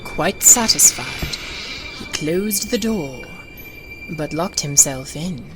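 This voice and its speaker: A woman narrating a classic ghost story as a dramatic audiobook, theatrical and suspenseful, with a tendency toward hushed, breathy delivery. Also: low voice, lively intonation, slow. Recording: loud music under the voice. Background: music.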